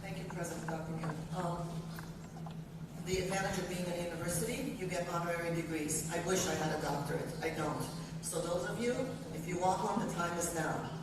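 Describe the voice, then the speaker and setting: A woman's voice: fairly low pitch, steady tone, fairly quick, very noisy. An older woman giving an earnest, emphatic speech to a university audience, introducing a guest in short listing phrases. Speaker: event speaker introducing a guest. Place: large university hall. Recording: echoey hall, voice from far off.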